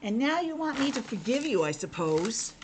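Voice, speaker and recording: A woman's voice: on the high side, big pitch swings, normal speed, background noise. A woman voicing a polite, slightly formal, forgiving male frog in a children's storybook read-aloud. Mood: huffy.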